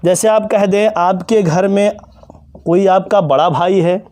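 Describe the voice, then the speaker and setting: A male teacher giving a classroom lesson to children, asking questions and answering them himself, and slowly spelling out example phrases. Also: high-pitched, steady tone, a little mellow. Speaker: English teacher.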